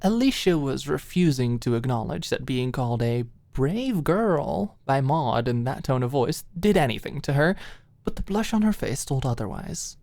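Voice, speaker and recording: A woman's voice: rumbling low, animated, moderate pace, darkish tone, dry recording. A woman narrating a scripted ASMR audiobook story, close to the microphone.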